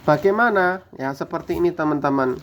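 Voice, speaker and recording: A man teaching a scripture lesson, chanting the verses slowly and then explaining them to viewers he calls friends. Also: on the high side, fairly bright tone. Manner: slow drawn-out recitation, then quick explaining. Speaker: religious teacher. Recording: dull lo-fi recording.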